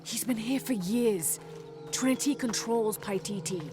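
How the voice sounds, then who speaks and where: A woman's voice: a little high, unhurried, over music, natural voice. An actress playing a determined young woman adventurer, focused and understated. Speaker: explorer.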